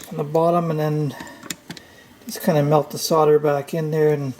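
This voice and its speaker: A man giving an unscripted, hands-on repair walkthrough, thinking aloud in a halting, tentative way. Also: a little high, little pitch movement, a little mellow, slight background noise. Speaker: repair tutorial host.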